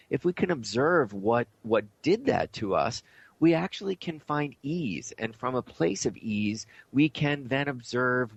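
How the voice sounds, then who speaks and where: A man's voice: mid-range voice, gravelly, no echo. A man hosting a conversational spiritual talk show, speaking in an informal, earnest and reflective way. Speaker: radio show host. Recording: dull lo-fi audio.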